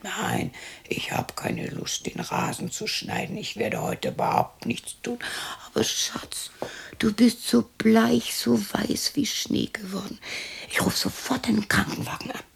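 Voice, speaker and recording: An actress in a radio play playing a lonely sixty-year-old widow who murmurs to herself in a breathy voice, by turns tender and grumbling. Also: female voice, on the high side, wildly swooping pitch, unhurried, whispered, exaggerated voice. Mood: fussing, coaxing. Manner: murmured self-talk, swinging between cooing and scolding. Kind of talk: radio play monologue, intimate acting.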